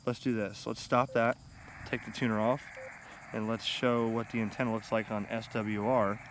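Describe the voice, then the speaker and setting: A man's voice: lowish voice, level tone, normal speed. Casual American man, noisy outdoor recording. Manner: rambling, informal, enthusiastic. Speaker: ham radio hobbyist and video host. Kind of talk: casual unscripted gear review vlog. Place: outdoors on grass beside a pond under shade trees. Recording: outdoor camera audio with clear ambient noise.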